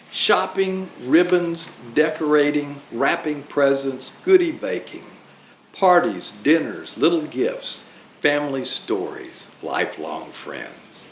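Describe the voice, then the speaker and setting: A man dressed as Santa reading rhyming children's books aloud in a slow, expressive way. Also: medium pitch, a little hiss or hum. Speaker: storyteller playing Santa. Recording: roomy echo.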